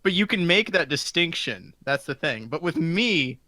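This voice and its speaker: A man's voice: a little high, animated, moderate pace, bright, forceful tone, no room sound. A man co-hosting a podcast in casual group chat, rambling along in a self-deprecating way. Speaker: podcast co-host.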